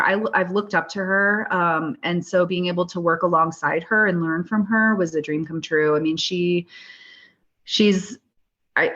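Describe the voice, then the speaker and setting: A woman with a low voice talks earnestly about her work, pausing and restarting as she searches for words. The sound is somewhat dull and lo-fi. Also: pushed and bright. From Standing Rock, US. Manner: earnest, thoughtful, with frequent restarts. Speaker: guest artist. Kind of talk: interview conversation. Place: Denver, US.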